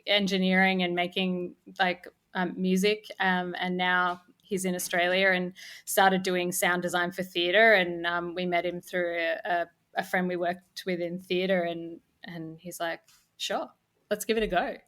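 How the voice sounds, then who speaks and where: Bright-voiced woman, casual podcast guest. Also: fairly low pitch, flat delivery, unhurried. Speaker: interview guest, audio drama co-creator. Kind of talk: casual interview chat.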